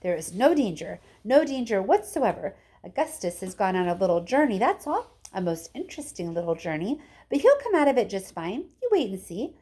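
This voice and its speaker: A woman voicing an excitable, eccentric man who owns a chocolate factory, emphatic and repetitive, in a children's story. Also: mid-range voice, husky. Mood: reassuring. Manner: emphatic, repetitive, brisk reassurance. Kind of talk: children's storybook read aloud.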